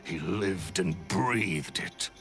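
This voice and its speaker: An actor playing a bitter, jealous stage magician turned ruthless video game villain, with a theatrical, sneering and menacing delivery. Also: male voice, low voice, extremely slow, over music, exaggerated voice. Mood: bitter, boastful.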